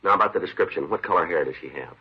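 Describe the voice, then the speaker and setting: An actor playing a terse police detective in a 1950s radio crime drama, clipped and matter-of-fact, on a dull vintage recording. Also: male voice, deep voice, lively intonation, rapid-fire, slightly rough. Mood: businesslike. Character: terse, businesslike male police detective, narrating his case.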